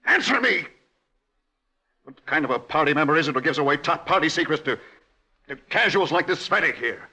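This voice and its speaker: An actor playing a jealous, hot-tempered husband in a 1950s radio drama, theatrical, sarcastic and menacing. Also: male voice, on the high side, expressive, brisk, long pauses, bright, forceful tone, exaggerated voice. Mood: furious.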